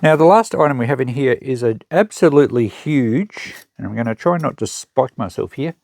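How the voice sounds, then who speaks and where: A man's voice: mid-range voice, expressive, normal speed, gravelly, darkish tone, no room sound, studio quality. An Australian man hosting an unboxing, talking casually and matter-of-factly as he thinks aloud and shows items. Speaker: host of an unboxing channel, secondhand shop seller. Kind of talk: casual unscripted show-and-tell commentary.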